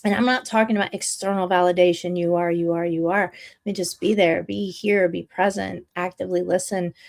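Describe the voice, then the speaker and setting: A woman's voice: on the low side, steady tone, a little fast, vocal fry. A woman of about fifty hosting a reflective personal conversation, speaking earnestly and repeating phrases for emphasis. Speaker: podcast host and interviewer.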